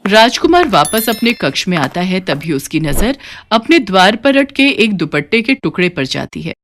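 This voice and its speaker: A woman narrating a scripted animated moral story in an expressive storytelling manner. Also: medium pitch, moderate pace, a little hiss or hum.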